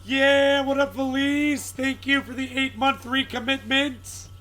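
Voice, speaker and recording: A man with a raspy, strained voice chats casually on a live stream, searching for words and greeting viewers by name. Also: extremely high pitch, flat delivery, unhurried, bright, forceful tone.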